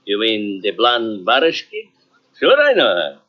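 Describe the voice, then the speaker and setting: Proud formal doorman, emphatic, lively pitch, dull old radio recording. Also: measured pace, full character voice. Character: proud older foreign club doorman. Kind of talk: 1940s radio acting.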